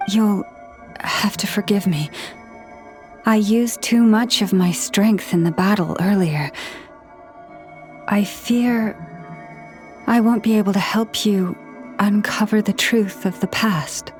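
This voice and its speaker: A woman as a solemn, measured young leader. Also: medium pitch, soft background music, lightly acted. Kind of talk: video game dub acting.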